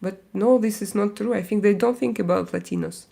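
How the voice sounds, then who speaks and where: A woman from Russia speaking English with a Russian accent, hosting a casual live stream and chatting with viewers, hesitant and full of pauses and fillers. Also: mid-range voice, gravelly, warm tone. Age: adult with young kids. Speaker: livestream host.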